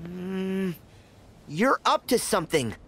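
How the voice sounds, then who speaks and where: Casting: youthful earnest hero, emphatic, lively intonation, measured pace, game dialogue over light ambience. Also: female voice, medium pitch, a little hiss or hum. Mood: suspicious. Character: earnest, eager youthful hero aboard pirate ship. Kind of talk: video game voice acting.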